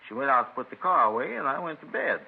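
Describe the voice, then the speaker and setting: Folksy, stubborn elderly father, radio drama. Husky, emphatic, lively pitch, dull vintage recording. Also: quick pace, heavily acted. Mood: rambling, insistent. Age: older. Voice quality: gravelly, husky. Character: folksy, stubborn old father of a grown daughter. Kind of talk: 1950s radio crime drama.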